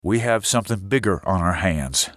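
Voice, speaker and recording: Blunt sheriff, animated, dry sound. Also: male voice, deep voice, moderate pace, dry recording. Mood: urgent. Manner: blunt, commanding. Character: gruff, guarded small-town sheriff taking charge. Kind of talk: audio drama acting.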